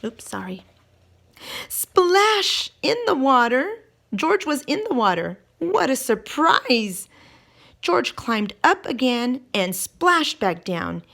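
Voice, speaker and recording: Female storybook reader for young children: very lively pitch, some creak in the voice, expressive emphasis. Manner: expressive, drawn-out emphasis on key words. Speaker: teacher reading a picture book aloud. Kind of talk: animated children's read-aloud with questions to the listeners.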